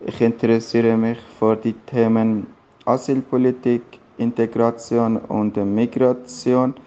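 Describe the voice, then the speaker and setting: Hesitant, dark-voiced man from Afghanistan. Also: on the low side, lo-fi sound. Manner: hesitant, with filler pauses. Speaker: community member. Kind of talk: prepared personal statement.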